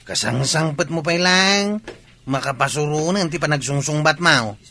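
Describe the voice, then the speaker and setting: A man's voice: on the high side, normal speed, sharp tone, slight background noise. A man with a Filipino accent playing a brusque, irritable village man in a comedy radio drama. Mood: irritated, challenging.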